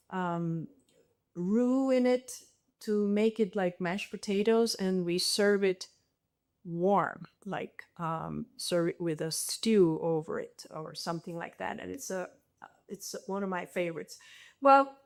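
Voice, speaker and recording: A woman from Turkey talks through a cooking demonstration from her home kitchen. She speaks slowly and in a friendly, hesitant way, often pausing on "um". It comes through a video call. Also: medium pitch, darkish tone, clear, resonant tone. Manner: hesitant, many ums, friendly. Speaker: home cook presenter.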